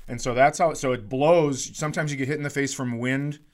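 A man's voice: medium pitch, fairly even intonation, brisk. A middle-aged American man telling casual comedic stories as a podcast host, with a profane, exasperated ranting manner.

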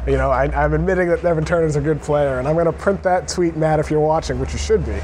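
A man's voice: fairly high pitch, quick pace, darkish tone. A man giving casual, analytical basketball commentary as a guest on a panel. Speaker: sports writer, panel guest. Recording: faint urban background noise.

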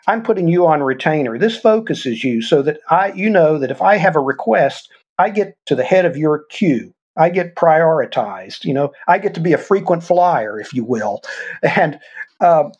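An older man, a guest, talks with earnest conviction and illustrates his points with stories. His voice is husky and sits a touch higher than most men's. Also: darkish tone, dull audio. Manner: earnest, explains with examples. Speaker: guest, former intelligence officer. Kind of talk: conversational storytelling interview.

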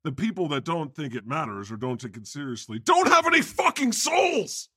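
A man's voice: a little high, sing-song, normal speed, a bit bright, slightly rough, no echo, clean studio sound. A man co-hosting a casual comedy podcast, talking in a sarcastic, profane, opinionated way. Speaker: podcast co-host. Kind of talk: casual comedic panel talk.

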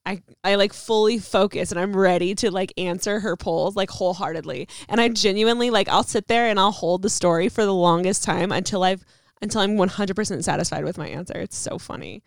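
A woman's voice: medium pitch, fairly quick, vocal fry, dry recording, clean studio sound. A woman co-hosting a podcast in casual chat, giggly and chatty, full of "um" and "like". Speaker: podcast co-host.

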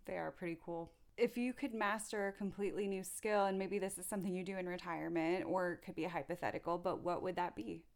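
A young woman hosts a podcast and asks friendly, curious questions in an easy, casual way. Her voice sits a touch low for a woman. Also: steady tone, fast, vocal fry, hardly any pauses. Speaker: podcast host. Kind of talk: casual interview.

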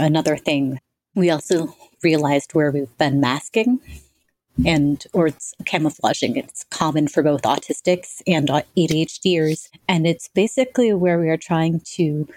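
Low-voiced woman, reflective and articulate. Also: no room sound, poor audio. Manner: reflective, articulate, self-described monotonous voice. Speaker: guest. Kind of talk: conversational interview answers.

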